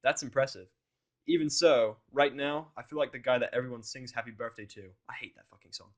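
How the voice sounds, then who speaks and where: A man's voice: mid-range voice, brisk, highs cut off. A man narrating a first-person fan fiction audio drama in a sardonic, exasperated way.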